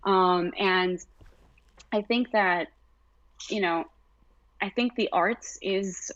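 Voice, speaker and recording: A woman's voice: mid-range voice, drawn-out words, a bit bright, no room sound, muffled recording. A young American woman, an artist being interviewed, speaking casually with many fillers and restarts. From United States.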